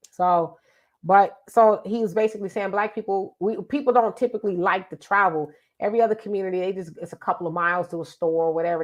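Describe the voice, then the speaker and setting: A middle-aged woman, sounding congested, hosting a casual live show and reading out viewer comments in an emphatic, chatty way that repeats itself. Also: fairly low pitch. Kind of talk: casual live-stream talk.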